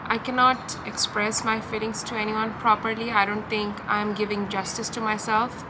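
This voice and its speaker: A young woman with a Bangladeshi accent talking in a hesitant, halting, confiding way in a personal vlog recorded outdoors. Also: medium pitch, little pitch movement. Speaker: vlogger. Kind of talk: personal talk-through to camera. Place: outdoors in a park. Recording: outdoor park noise, distant traffic. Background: ambient park and vehicle noise.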